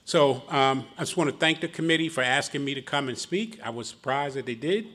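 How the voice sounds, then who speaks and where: A man's voice: medium pitch, brisk, a bit bright. A middle-aged man from Philadelphia speaks with the accent of that city, telling his recovery story to a room of listeners. His talk is folksy and self-deprecating, and he chuckles at his own jokes. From Philadelphia, Pennsylvania. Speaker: guest speaker at a recovery meeting. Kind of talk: personal testimony speech. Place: meeting room before an audience.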